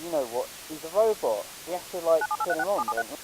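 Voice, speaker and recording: A man's voice: on the high side, expressive, fast talker, very noisy. A man chatting casually and hesitantly while playing a game, in short broken phrases. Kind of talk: hesitant, casual gameplay chat.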